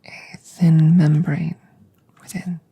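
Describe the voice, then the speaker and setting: A woman playing a calm, curious alien scientist in a soft ASMR roleplay, whispering and murmuring close to the mic in a measured, formal way. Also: sits low, creaky, breathy edge, dull audio, full character voice. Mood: curious, clinical. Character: calm, clinical, curious female alien scientist examining a visitor. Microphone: close microphone.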